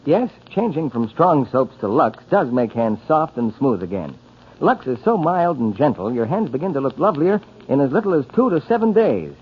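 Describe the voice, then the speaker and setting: A man with a husky voice reading a scripted 1940s radio advertisement. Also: mid-range voice, sing-song, normal speed. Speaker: commercial announcer. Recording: muffled 1940s radio broadcast.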